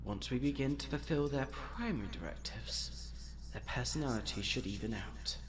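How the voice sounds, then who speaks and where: A man's voice: medium pitch, moderate pace, background noise, close to an everyday voice. A man voicing a scheming mad-scientist platypus in a comic dub, pompous, articulate and condescending in a theatrical way. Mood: musing, frustrated.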